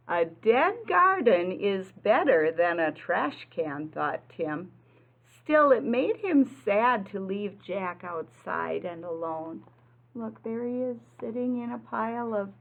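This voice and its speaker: Slow-paced woman teaching young children. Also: medium pitch, narrow, tinny audio. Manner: simple, explanatory, prompting children with questions and repetition. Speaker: preschool teacher. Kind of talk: children's lesson and picture-book read-aloud.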